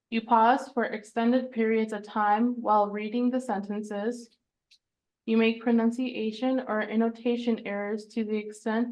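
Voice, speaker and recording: A woman's voice: medium pitch, rough recording. A woman teaching a citizenship class, explaining the reading test in a plain, reassuring way, step by step. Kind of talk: casual classroom instruction. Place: online class recording.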